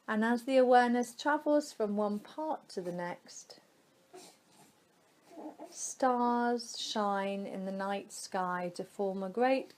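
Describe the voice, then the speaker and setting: Slow-speaking woman guiding a relaxation meditation. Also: mid-range voice, a little mellow. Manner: soothing, unhurried, with long pauses between phrases. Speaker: yoga nidra guide.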